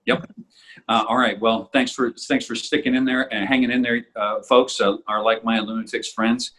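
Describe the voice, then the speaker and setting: A man with a slightly husky voice, chatting casually as a co-host of an audio drama show. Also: mid-range voice, level tone, moderate pace, fairly bright tone, rough recording. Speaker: voice actor and podcast co-host. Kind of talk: casual behind-the-scenes chat.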